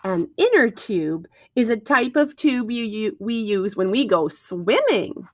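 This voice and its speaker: Lively, high-pitched woman reading to children. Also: measured pace, dry recording, studio quality. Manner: expressive, encouraging listeners with questions and wondering asides. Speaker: teacher reading a picture book aloud to young children. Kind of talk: children's read-aloud with questions to the listeners.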